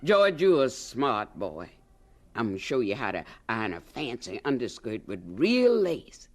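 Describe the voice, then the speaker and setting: An actress playing a bossy, proud older aunt from the American South, folksy and scolding, in a radio drama. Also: female voice, very deep voice, very animated, measured pace, a little hiss or hum, full character voice. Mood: brisk, instructive.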